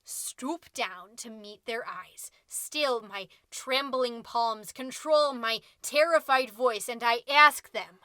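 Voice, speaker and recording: A woman's voice: fairly high pitch, takes it slowly, few pauses, bright, forceful tone, no echo. A woman playing a catty, indignant mom who hosts a podcast, delivering a sarcastic, melodramatic comedic monologue. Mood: conspiratorial, dramatic. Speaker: podcast host.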